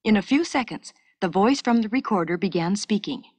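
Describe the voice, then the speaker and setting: A woman reads a scripted educational story for children in a clear, measured storytelling manner, as on a 1970s school cassette. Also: medium pitch, lively intonation, darkish tone, dry recording. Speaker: narrator.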